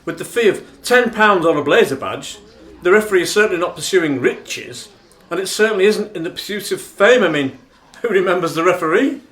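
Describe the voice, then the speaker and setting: An actor playing a formal, indignant dinner speechmaker in a radio play, declamatory and emphatic. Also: male voice, high-pitched, expressive, fairly quick, fairly bright tone. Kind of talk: theatrical radio play monologue. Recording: roomy, echoing sound.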